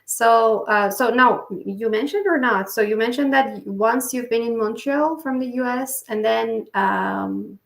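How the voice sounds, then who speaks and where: A woman hosting a podcast interview, speaking in halting phrases full of fillers. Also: a little high, creaky. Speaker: podcast host. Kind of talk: interview conversation. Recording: echoey room.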